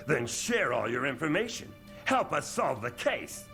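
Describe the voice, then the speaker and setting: A big, blustering crocodile detective in an audio drama. The voice is gravelly and gruff, swinging hugely in pitch, sarcastic in manner, over background music. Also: male voice, sing-song, a little slow, bright, forceful tone, full character voice. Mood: indignant. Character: gruff, indignant male crocodile detective, big-hearted schemer. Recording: music behind the voice.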